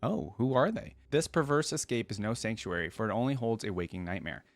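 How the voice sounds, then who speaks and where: A man's voice: fairly low pitch, lively intonation, a little fast, dry recording. A man hosting a reaction video, switching between casual commentary and reading aloud.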